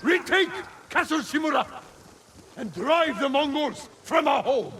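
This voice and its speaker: A samurai commander rallying troops in a video game drama. He shouts in a strained-high, forceful, swooping voice, declaiming slowly. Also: lively intonation, a little hiss or hum, full character voice. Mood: rousing fury. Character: rousing samurai battle commander. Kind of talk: battle speech in a game cutscene.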